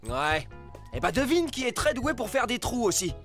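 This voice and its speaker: A cocky male cartoon fox, quick to get defensive. His emphatic delivery jumps up and down excitably, over faint music. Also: medium pitch. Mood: flustered. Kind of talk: animated French cartoon dubbing.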